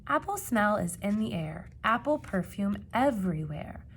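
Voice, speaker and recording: A woman reading a children's picture book aloud like a teacher, with expressive emphasis and questions put to young listeners. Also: medium pitch, slight background noise. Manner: expressive, dramatic gasps and emphasis, pausing to ask questions.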